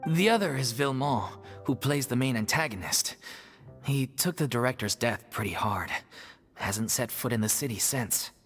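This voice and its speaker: Actor: storytelling troupe member, mid-pitched and animated, scored game scene. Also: moderate pace, natural voice. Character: theater troupe member explaining a play. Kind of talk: game cutscene voice acting. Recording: game soundtrack music behind.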